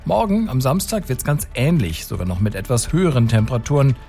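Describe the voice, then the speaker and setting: A man's voice: medium pitch, big pitch swings, brisk, creaky, dark tone, faint music behind. A man presenting the weather on radio in an upbeat, friendly way. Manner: upbeat, friendly forecast delivery.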